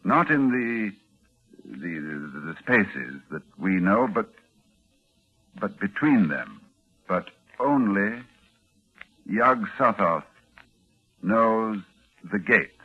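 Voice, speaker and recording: An actor playing a dignified, fearful university scholar, with dramatic educated delivery in a 1940s radio horror drama. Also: male voice, fairly low pitch, big pitch swings, extremely slow, pauses often, husky, narrow, tinny audio, heavily acted. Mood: halting, uneasy. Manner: dramatic, educated, hesitant when alarmed. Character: learned male university librarian, dignified, appalled and fearful. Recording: band-limited 1940s radio recording with music.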